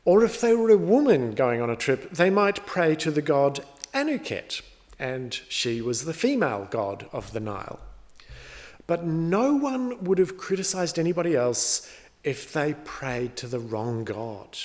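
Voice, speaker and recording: A middle-aged man, a pastor giving a sermon in a conversational, storytelling way. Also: mid-range voice. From New Zealand.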